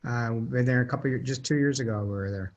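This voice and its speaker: This is an older man who grew up speaking German before English. He chats casually with a colleague before a webinar, keeping his answers short and dry, at an even middle pitch. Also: fast talker, highs cut off. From grew up in Syracuse, New York. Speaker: lawyer and guest speaker.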